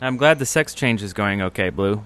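A man's voice: on the low side, lively intonation, fairly quick, a bit bright, clean tone. A man co-hosting a podcast in a casual, conversational style. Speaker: podcast co-host. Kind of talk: casual chat.

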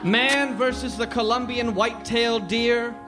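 A man narrates a mock-serious history with pompous, deadpan gravity. His voice sits very high for a man. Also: a little slow, over loud music. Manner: pompous, deadpan, scolding interruptions. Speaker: storyteller.

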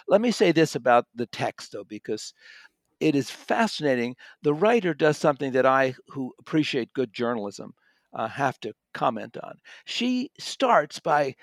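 A man's voice: fairly high pitch, dry recording. A man giving a casual, conversational audiobook review, thoughtfully rephrasing as he goes. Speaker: magazine contributor and audiobook reviewer.

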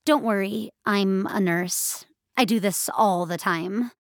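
Woman playing a halting, reassuring nurse, dry sound. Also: medium pitch, no echo. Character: Chelsea, copper-haired nurse, friendly, hesitant, practical app devotee.

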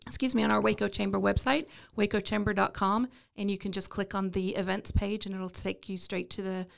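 A woman's voice: medium pitch, level tone, a little fast, vocal fry. A woman from England, a chamber of commerce staffer, chatting as a guest in a friendly, self-deprecating way with many fillers and restarts. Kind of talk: casual interview chat.

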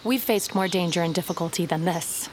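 A woman's voice: fairly low pitch, brisk, a little mellow, slight background noise, lightly acted. An actress playing a tough, stubborn climber in an audio drama, terse and dry-witted in her delivery. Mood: defiant. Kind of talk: dramatic audio fiction acting.

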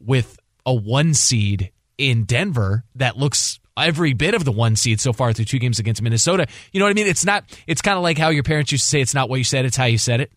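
Male sports radio co-host, American, medium pitch, explanatory and chatty, close dry sound. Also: expressive, brisk, a bit bright, no room sound. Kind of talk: conversational sports analysis.

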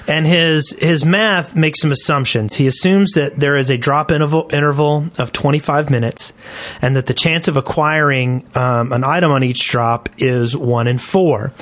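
A man's voice: mid-range voice, fairly bright tone. A man co-hosting a gaming podcast, explaining things casually in a slightly hesitant way. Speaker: podcast co-host.

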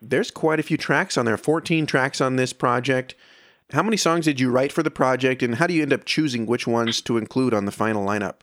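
A man's voice: medium pitch, a little fast, fairly bright tone, no echo, clean studio sound. A man asking conversational questions as an interviewer.

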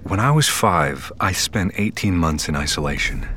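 An actor playing a grown man who recounts his troubled youth in first-person narration, with a very deep voice. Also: expressive, moderate pace, bright, forceful tone, slight background noise. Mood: reflective. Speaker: narrator of an audio drama.

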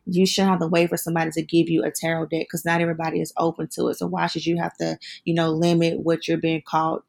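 A woman's voice: lowish voice, creaky, a little mellow. A woman from South Carolina with a Southern accent, chatting casually as a guest about her tarot work, with lots of "like" and "you know". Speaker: tarot reader and Reiki healer, guest. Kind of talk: casual podcast chat.

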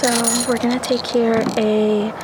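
A woman's voice: on the high side, level tone, measured pace. A woman field researcher explaining what she does as she works outdoors. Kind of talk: hands-on explanation while working. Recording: noisy outdoor field recording.

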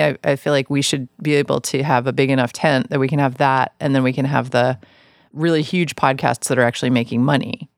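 A man's voice: mid-range voice, gravelly, no echo, clean studio sound. A man speaking casually as an interview guest, giving his opinions in an informal, emphatic way. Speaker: interview guest from an independent record label. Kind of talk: casual conversational interview talk.

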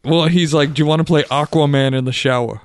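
A man's voice: on the high side, fairly even intonation, quick pace, clear, resonant tone, no echo. Playful male co-host, laughs a lot, dry close sound. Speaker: podcast co-host. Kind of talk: casual joking banter. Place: home garage studio.

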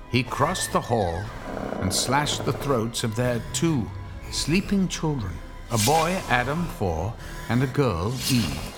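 Sly horror storyteller, hugely swooping intonation, measured pace, theatrical, macabre, radio drama narration. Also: male voice, medium pitch. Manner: theatrical, sly, dropping toward hushed emphasis. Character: sly, macabre male horror storyteller, relishing grisly tales.

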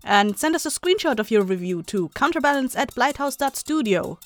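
A woman's voice: high-pitched, lively intonation, a little fast. A woman podcast host reading a scripted announcement to listeners. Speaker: show host and voice actor.

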